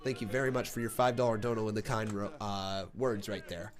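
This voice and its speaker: A man with a cold and a plugged-up voice, chatting casually through a live reaction stream and breaking into excited play-by-play. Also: on the low side, brisk. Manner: chatty, thinks aloud, bursts into excited play-by-play. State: congested from a cold.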